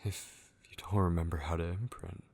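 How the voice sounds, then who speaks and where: A man playing an obsessive, possessive kidnapper in an ASMR horror roleplay, with a breathy, gravelly voice that slips into whispers and lingers tenderly and menacingly over words. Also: deep voice, fairly even intonation, moderate pace, a little mellow, slight background noise. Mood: taunting, hushed. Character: obsessive, possessive male kidnapper, unhinged, taunting and devoted.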